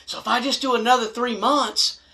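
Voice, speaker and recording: American male vlogger for comic advice monologue: folksy and blunt, animated emphasis, bright forceful tone. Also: extremely high pitch, brisk. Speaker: video host telling stories and advice. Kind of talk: humorous storytime monologue to camera.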